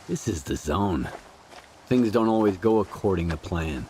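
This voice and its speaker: An actor playing a terse, skeptical mercenary explorer in a game, blunt and matter-of-fact. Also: male voice, deep voice, expressive, a little slow, a little mellow, a little hiss or hum.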